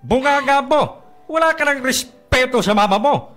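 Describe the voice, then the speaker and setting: A man playing a stern father in a radio drama, forceful and reprimanding. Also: very high-pitched, lively intonation, moderate pace, full character voice. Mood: stern, angry. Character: stern father scolding a disrespectful child.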